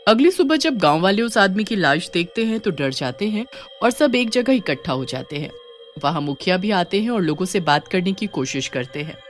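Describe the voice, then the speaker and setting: A woman storyteller reading a scripted horror story narration. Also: fairly low pitch.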